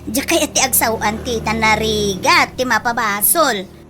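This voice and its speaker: An actress playing a brash, sharp-tongued village gossip in an Ilocano comedy radio drama, with an Ilocano accent. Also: female voice, fairly high pitch, a little slow, music in the background, lightly acted. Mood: scandalized, gossipy. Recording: noisy background.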